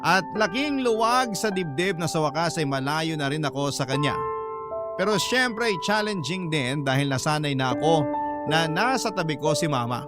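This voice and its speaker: A man's voice: a little high, lively intonation, moderate pace. A man narrating a radio drama, reading a first-person letter in an emotive storytelling style. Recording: loud music bed under voice. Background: loud background music.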